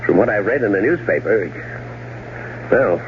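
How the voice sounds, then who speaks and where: Low-voiced, smooth grieving widower. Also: male voice, expressive. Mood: polite, flustered. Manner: halting, polite, measured. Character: outwardly grieving, smooth widower husband, evasive and calculating. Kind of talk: 1970s radio drama acting. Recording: muffled old radio broadcast with hum.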